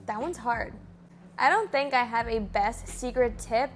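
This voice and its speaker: A woman's voice: a little high, measured pace, soft background music. Bubbly young Miami woman vlogging. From Miami, USA. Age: 20s. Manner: bubbly, chatty, gushing. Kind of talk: casual Q&A chat to camera. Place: bedroom with Disney ears on the wall.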